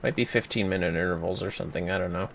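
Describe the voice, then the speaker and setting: A man chatting casually while gaming on a livestream, playful, exclamatory and quick to swear. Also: sits low, creaky, a bit bright, a little hiss or hum. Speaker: gamer on a livestream. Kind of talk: casual gameplay chat.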